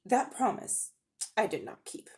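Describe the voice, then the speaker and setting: A woman acts out a sly, self-important older magician uncle from a storybook. He talks down to his listener in grand, condescending tones, with a very lively, swooping range of pitch. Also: unhurried. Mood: lofty, self-justifying.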